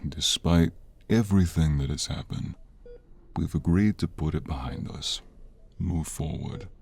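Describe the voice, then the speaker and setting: A man playing an older, stern but forgiving father in an audio drama, with a gravelly, husky voice and a measured, grave delivery. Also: a bass voice, slight background noise, heavily acted. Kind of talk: audio roleplay drama acting.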